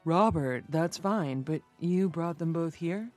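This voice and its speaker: A woman's voice: on the low side, slow pace, darkish tone, soft background music. A woman narrator reading the lines of an easygoing, dry and reassuring young man in a story.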